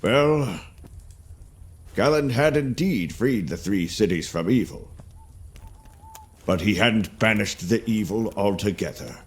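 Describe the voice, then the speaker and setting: Animated older storyteller. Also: male voice, lowish voice, slow, pauses often, slight background noise. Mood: gentle, reassuring. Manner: expressive, gentle, sometimes hesitant storytelling. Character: kindly older male storyteller telling a child a tale. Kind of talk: video game storybook narration. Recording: long reverb, faint music behind.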